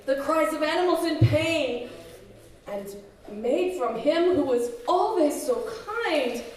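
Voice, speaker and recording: An actress playing an anxious, easily scandalised housekeeper in an old-time radio horror drama, with a dramatic, worried delivery. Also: female voice, high voice, lively intonation, slow, darkish tone. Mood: worried, horrified. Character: anxious, fretful housekeeper Madame Maurice, scandalised. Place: live stage show in a community hall. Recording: echoey community hall, far from mic.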